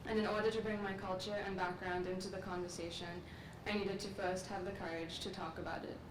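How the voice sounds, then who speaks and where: A young woman from Sri Lanka giving a measured, earnest graduate speech at an outdoor commencement through a podium microphone. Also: medium pitch, flat delivery. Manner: measured, earnest, reflective delivery. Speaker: graduate student speaker. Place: large outdoor courtyard ceremony. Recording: echoey, distant, with noise.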